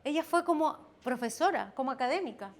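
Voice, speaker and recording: A woman's voice: sits high, lively intonation, a little fast. A woman with a Chilean accent and a strong voice, speaking on a discussion panel and carefully qualifying her points. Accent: Chilean Spanish. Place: event hall.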